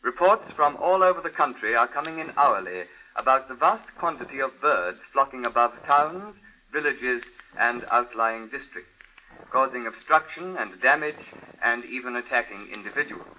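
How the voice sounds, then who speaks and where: Sharp, bright formal radio announcer. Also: male voice, medium pitch, animated, normal speed, rough recording. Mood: official. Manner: formal, clipped, official delivery. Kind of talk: 1950s radio news bulletin acting. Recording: thin old radio recording, faint noise.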